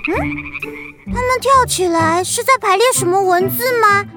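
Tiny high-pitched little witch girl. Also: normal speed, loud music behind the voice. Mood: amazed. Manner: wide-eyed, childlike exclamations. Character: little witch girl, earnest, awed, self-doubting. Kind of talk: children's radio drama acting.

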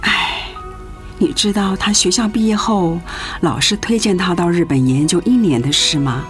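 A woman's voice: on the low side, moderate pace, natural voice. An actress playing a doting, fussy older mother and grandmother in a radio drama. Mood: subdued, reflective. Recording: music behind the voice.

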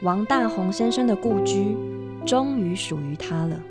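Woman acting a wistful narrator lady in a radio drama, music really loud behind. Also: medium pitch, animated, moderate pace, lightly acted.

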